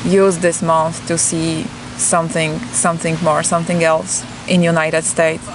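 A young woman with a Polish accent talks in a brisk, matter-of-fact way, her voice sitting a little low. She is outdoors in a farm garden and is picked up by a camera mic at medium distance. Faint outdoor noise runs beneath her. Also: little pitch movement. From Poland. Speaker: farm intern. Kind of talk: interview answers.

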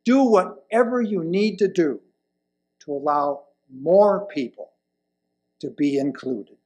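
An elderly man reading a sermon from a lectern, with deliberate, emphatic phrasing and pauses. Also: a little high, expressive, dark tone. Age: older, around 70s or 80s. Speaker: lay presenter reading a sermon. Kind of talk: sermon read from a pulpit. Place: indoors at a wooden lectern in a church hall. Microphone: lapel mic.

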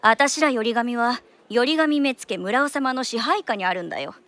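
An actress playing a worldly, caring big-sister courtesan in a period radio drama, teasing and bossy, with familiar old-fashioned speech. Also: female voice, a little high, animated, normal speed, a bit bright. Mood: scolding.

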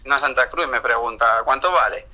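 A man's voice: mid-range voice, fast talker, bright, forceful tone. A man with an accent from Spain, talking indignantly and swearing in a casual, heated phone conversation. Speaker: prank call target. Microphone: heard through a phone on speaker.

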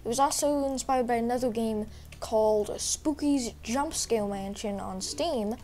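A woman's voice: a little high, unhurried, dark tone. A young woman who is sick, talking through a game walkthrough in a rambling, hesitant way with lots of fillers. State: sick, voice a little rough. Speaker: gaming YouTuber. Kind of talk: casual gameplay walkthrough.

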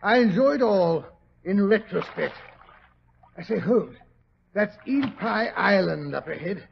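An actor playing a portly, good-natured, grumbling British gentleman doctor in a 1940s radio drama, blustery and hesitant with a gravelly voice. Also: sits high, expressive, measured pace, exaggerated voice. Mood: puffing, contented. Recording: muffled, hissy 1940s radio recording.

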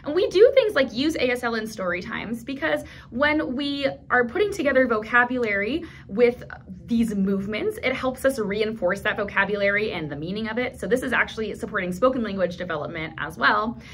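A woman in her thirties hosting a children's storytime, talking in an encouraging, animated, instructional way to toddlers and their grown-ups. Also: a little high, a little fast, a little hiss or hum. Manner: animated, encouraging, simple clear phrasing for young children. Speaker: children's storytime host at a library. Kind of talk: instructional talk to toddlers and caregivers. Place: small indoor room.

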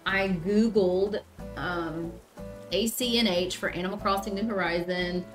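A woman in her thirties with a Southern US accent, chatting casually on a gaming stream, reading chat aloud and often pausing with ums. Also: on the low side, steady tone, faint music behind. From Southern United States. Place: small room indoors. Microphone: headset.

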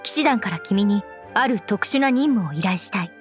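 An actress playing an earnest, composed young woman knight commander in an anime game, speaking in a formal, measured, dutiful way. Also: mid-range voice, animated, soft background music, lightly acted.

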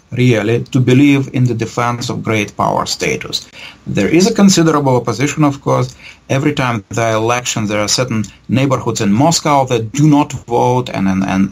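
Gravelly, husky middle-aged man, academic. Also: medium pitch. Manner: measured, academic, hesitant with repeated words. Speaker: history professor being interviewed. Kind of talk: analytical interview answers. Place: book-lined office, indoors. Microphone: video call computer microphone.

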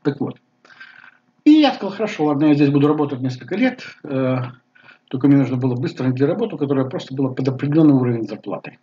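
A man in his sixties with a Bulgarian accent, talking casually to camera at home, halting with many fillers. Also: mid-range voice, expressive, warm tone. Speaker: vlogger. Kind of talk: casual personal talk to camera. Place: living room at home. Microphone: webcam at desk. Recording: slightly dull webcam audio.

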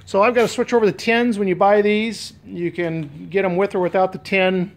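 An American man with a voice pitched a little above average talks in a casual, unscripted way. He sounds like a hobbyist restorer showing his work, pausing hesitantly as he thinks aloud. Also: fairly even intonation. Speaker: DIY boat restorer and vlogger. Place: garage with concrete floor. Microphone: handheld camera, operator behind it.

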